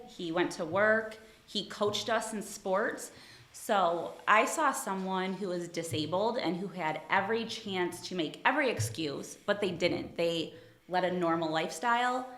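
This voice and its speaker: A woman's voice: mid-range voice, measured pace, fairly bright tone, reverberant. A woman from Ohio working as an attorney, chatting casually in an interview, friendly and modest, laughing often. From Toledo, Ohio. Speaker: attorney, guest.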